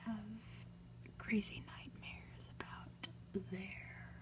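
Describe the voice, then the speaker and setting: A woman whispering softly and breathily in an ASMR style, gentle and unhurried, as a reader and host. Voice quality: breathy, mostly unvoiced whisper. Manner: soft, unhurried, gentle delivery. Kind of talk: whispered ASMR, storybook reading and soft chat.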